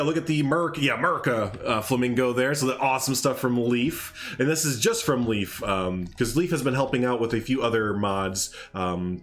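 A man with a congested, nasal voice hosting a casual live stream, chatting in a rambling way with lots of fillers. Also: mid-range voice. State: congested, stuffed up. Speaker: streamer hosting a game showcase.

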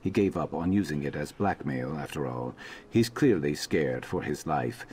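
Reptilian outlaw companion for a fantasy game: extremely low, guarded, dismissive, dry delivery. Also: male voice, animated, normal speed, a little mellow, a little hiss or hum. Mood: wary. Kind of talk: fantasy video game dialogue.

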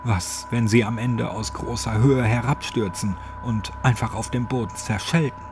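A man's voice: medium pitch, fairly even intonation, normal speed, noisy background. A man narrating a scripted horror story as an audiobook. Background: music.

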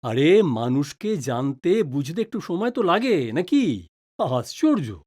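A man's voice: on the high side, sing-song, takes it slowly, darkish tone, full character voice. An actor playing a patient, reassuring middle-aged father in an audio drama, speaking in an avuncular, advising way. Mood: teasing, defensive.

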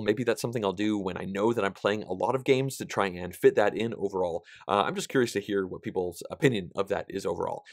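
A man in his thirties talks casually to camera from a small studio, his voice slightly nasal and pitched on the low side. He is chatty and animated, with plenty of fillers. The sound is dry and close. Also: dry recording. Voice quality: somewhat nasal. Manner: chatty and animated, gesturing with both hands, frequent fillers. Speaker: board game vlogger. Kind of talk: casual vlog update. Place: small indoor studio, plain backdrop.

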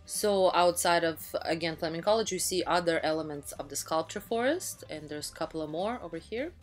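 A chatty, enthusiastic woman in her thirties talks through a travel vlog in an easy voice-over. Her voice sits a little low, and she often stops to restart a sentence. Soft music plays faintly behind her. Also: fairly quick. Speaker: travel vlogger. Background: faint background music.

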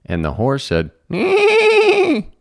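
An expressive, deliberate narrator reading a children's picture book aloud. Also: deep voice, no echo, studio quality. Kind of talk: read-aloud children's storytelling.

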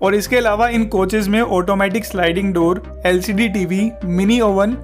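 A man's voice: high voice, steady tone, moderate pace, faint music behind. A man hosting a travel channel, reading a scripted voice-over in an upbeat, recommending tone.

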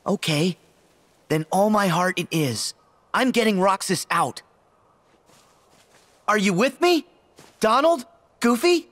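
An actor playing a plucky, earnest American teenage boy hero in an animated video game. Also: high voice, slow, pauses often, full character voice. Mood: earnest, determined.

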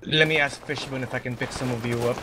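Brief: male squad leader, curt orders, voice chat, loud gunfire behind. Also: mid-range voice, fairly even intonation, quick pace, heavy background noise. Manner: terse, giving orders over radio. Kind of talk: in-game voice chat.

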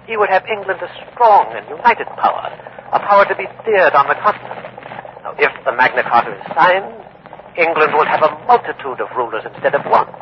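A man's voice: fairly high pitch, natural voice. An actor playing a grave, wary English nobleman in an old radio drama, speaking in formal, archaic oratory. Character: courtly medieval English lord, grave and wary. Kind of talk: 1950s radio drama acting. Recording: muffled old radio, faint noise.

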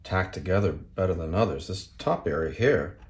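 A man narrating a hands-on repair tutorial in a casual, unscripted way, explaining step by step in a halting manner. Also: sits low, animated. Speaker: tutorial host.

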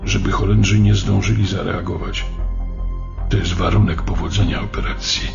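A low-voiced, gravelly man as a confident, cold German intelligence officer in a radio drama. He speaks deliberately and with command. The recording is slightly dull, with faint music underneath. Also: level tone. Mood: firm, calculating. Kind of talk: radio docudrama acting.

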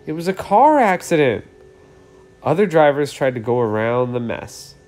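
A man's voice: mid-range voice, very animated, moderate pace, a little mellow. A man in his thirties explaining things simply and reading a storybook aloud for children. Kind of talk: casual introduction and storybook read-aloud.

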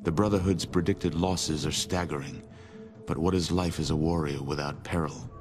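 Post-apocalyptic chronicler, low voice, grave, measured, scripted game narration, musical backing. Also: male voice, fairly even intonation, over music. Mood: solemn. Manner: grave, measured storyteller delivery. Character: solemn male war chronicler of a post-apocalyptic order.

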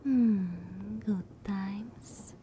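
A woman playing a playful, teasing younger vampire sister in ASMR roleplay, sultry and breathy, often near a whisper, coy and purring. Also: mid-range voice, drawn-out words, slight background noise. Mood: sultry, affectionate. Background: soft ambience.

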